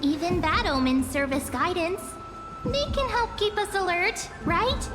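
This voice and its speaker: A woman's voice: very high voice, unhurried. A woman voicing a small, cute, girlish fairy creature in a video game, playful, coy and theatrical. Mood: earnest. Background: background music.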